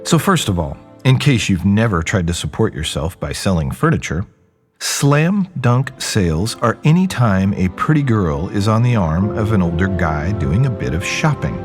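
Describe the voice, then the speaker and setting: A man's voice: on the low side, very animated, normal speed, faint music behind. An actor playing a jaded, rebellious young man who tells his own story in a wry, conversational, rambling way. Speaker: narrator and lead character of an audio drama. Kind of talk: first-person dramatic narration, conversational storytelling.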